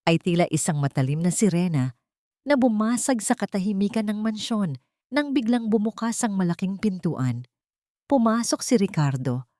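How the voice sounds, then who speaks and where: A woman's voice: on the low side, darkish tone, no room sound, studio quality. A woman narrating a scripted audio drama in a dramatic storytelling style.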